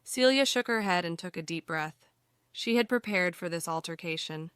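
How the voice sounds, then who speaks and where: Casting: female fantasy audiobook narrator, bright, extremely clear voice, scripted storytelling. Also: on the low side, animated, normal speed, no room sound, clean studio sound.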